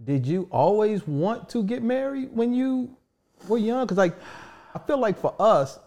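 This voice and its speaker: A man's voice: high voice, lively intonation, unhurried, darkish tone. A man in his forties hosting a casual interview, asking probing, conversational questions. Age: 40s. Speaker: host.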